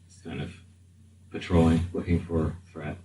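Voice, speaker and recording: A man's voice: extremely low pitch, level tone, normal speed, warm tone, distant mic. A man narrating a tabletop roleplaying game as game master, in a hesitant, improvised way. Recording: roomy, off-mic, faint room noise.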